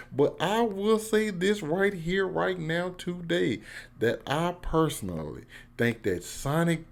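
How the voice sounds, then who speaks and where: A man with an American accent giving a casual, slangy, emphatic one-take review as a YouTuber. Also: on the high side. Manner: slangy, informal, excitable, emphatic.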